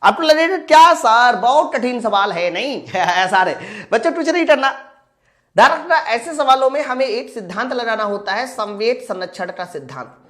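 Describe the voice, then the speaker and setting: A man's voice: very high voice, lively intonation, moderate pace, pushed and bright. A man teaching an exam-prep lesson, asking and answering his own questions as he addresses students directly. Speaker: physics teacher. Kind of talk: exam-prep lesson, working through problems aloud.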